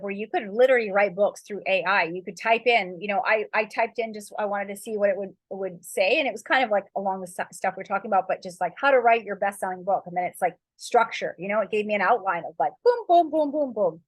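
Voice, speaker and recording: A woman's voice: mid-range voice, dry recording. A woman author giving casual, encouraging writing advice in a loose, rambling way, full of fillers and spiritual turns of phrase. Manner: loose, rambling, heavy with "like" and "you know".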